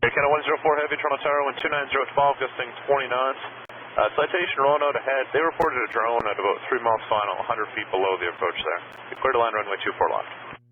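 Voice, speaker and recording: A man's voice: mid-range voice, quick pace. A man working as an air traffic tower controller, giving clipped, procedural instructions over aviation radio. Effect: aviation radio transmission. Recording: noisy, phone-like aviation radio recording.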